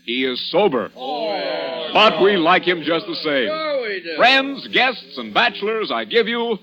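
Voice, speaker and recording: Boisterous high-voiced mock-pompous toastmaster. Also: male voice, very animated, slow pace, full character voice. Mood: jovial oratory. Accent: American. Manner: pompous, jocular, oratorical. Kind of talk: 1940s radio acting. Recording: old radio recording with crowd.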